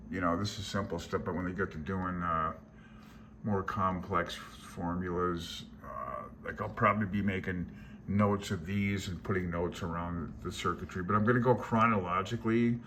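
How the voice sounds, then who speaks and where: Low-voiced folksy man, casual vlog. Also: moderate pace, bright, forceful tone, a little hiss or hum. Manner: folksy, self-deprecating. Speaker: hobbyist radio channel host. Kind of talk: casual rambling vlog talk.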